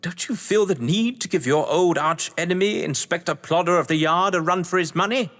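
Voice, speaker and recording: An actor playing a whimsical, scheming crime-novelist lord of the manor, with a British accent and a pompous, florid delivery, in a stage-thriller audio drama. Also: male voice, high voice, fairly quick, fairly bright tone. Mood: excited, theatrical. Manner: florid, pompous, playfully grandiose. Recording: long hall-like reverb.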